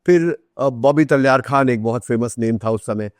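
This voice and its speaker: Podcast guest: Indian man, medium pitch, anecdotal and explanatory, code-mixing English, recorded dry. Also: moderate pace, darkish tone, no room sound. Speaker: interview guest, author. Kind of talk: conversational storytelling in a podcast interview.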